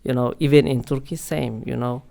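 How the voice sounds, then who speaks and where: A man guesting on a podcast, halting as he speaks in a middle-pitched voice. He leans on "you know" and "uh" over and over, keeping the chat casual and searching. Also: steady tone, creaky, dark tone. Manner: hesitant, heavy use of "you know" and "uh" fillers. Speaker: guest, international student office staff.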